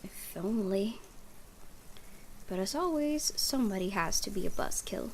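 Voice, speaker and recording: A woman's voice: medium pitch, lively intonation, a little slow, rough recording. A woman hosting a gaming video, reading visual novel narration aloud with casual asides. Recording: clear background noise.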